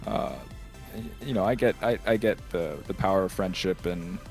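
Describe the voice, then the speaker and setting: A man guest in a casual interview, talking in a hesitant, halting way. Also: low-pitched, a little mellow, faint music behind.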